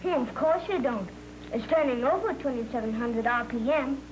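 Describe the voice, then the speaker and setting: A man's voice: extremely high pitch, expressive, normal speed. A young male actor playing an eager, know-it-all boy who loves airplanes, in the earnest style of a 1940s training film. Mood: eager, proud. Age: child. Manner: earnest, matter-of-fact recital of technical facts. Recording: noisy, humming 1940s film soundtrack.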